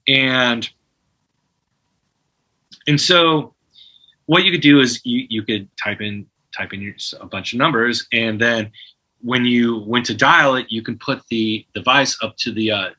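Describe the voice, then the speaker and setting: A man in his forties, a guest musician in a casual interview, telling an animated story full of restarts and imitated phone tones. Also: mid-range voice, unhurried, low-quality recording.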